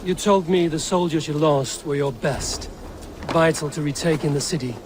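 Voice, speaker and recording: A man's voice: fairly high pitch, normal speed, noisy background, close to an everyday voice. A man playing Eivor, blunt Viking wanderer. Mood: calm. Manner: calm, direct and plain-spoken. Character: steady, blunt Viking wanderer, male, adult. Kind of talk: video game cutscene acting.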